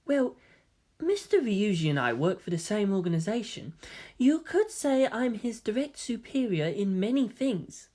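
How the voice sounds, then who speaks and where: A woman voicing a gentle, elegant teenage boy in a story reading, soft-spoken, polite and earnest. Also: medium pitch, sing-song, unhurried, pitched above the performer's own voice. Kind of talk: dramatic fan fiction character reading.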